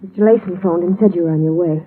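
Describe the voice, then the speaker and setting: A woman's voice: fairly low pitch, fast talker, a little hiss or hum, close to an everyday voice. An actress playing a grieving, polite young woman in a 1950s radio drama, earnest and subdued. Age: young adult. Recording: muffled, tinny old radio recording.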